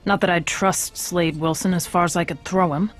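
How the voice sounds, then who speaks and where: A woman's voice: fairly low pitch, steady tone, normal speed, music in the background, lightly acted. A woman playing a stern middle-aged government commander in a video game, speaking with authority and dry sarcasm. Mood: wry, businesslike. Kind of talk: scripted video game acting.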